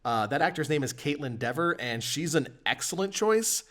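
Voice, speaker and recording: A man's voice: on the high side, expressive, moderate pace, fairly bright tone. Man hosting a casual gaming podcast. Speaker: podcast host. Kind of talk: reading news and discussing games in casual podcast talk.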